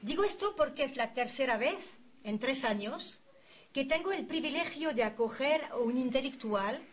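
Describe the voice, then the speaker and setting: Over clear background noise, a woman with a fairly high voice introduces a guest as interviewer. Her delivery is formal and literary, with solemn, ornate phrasing set out deliberately. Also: animated. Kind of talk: formal, literary scripted introduction with brief asides. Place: university event recorded for a filmed programme.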